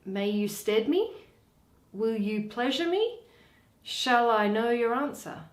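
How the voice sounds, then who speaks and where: A woman voicing an eager, courteous young gentleman in a Shakespeare play, earnestly pressing his request. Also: a little high, expressive, slow pace. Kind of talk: dramatic Shakespeare reading. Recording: roomy echo.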